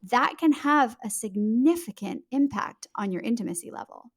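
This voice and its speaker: A woman's voice: fairly high pitch, animated, moderate pace. A woman speaking as a guest author, explaining in a conversational interview style, fluent and emphatic, addressing the listener directly.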